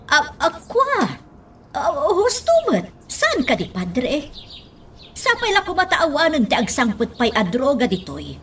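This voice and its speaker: An actress playing an expressive woman in an Ilocano radio drama. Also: high-pitched, measured pace, a little hiss or hum, full character voice. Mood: flustered, pleading.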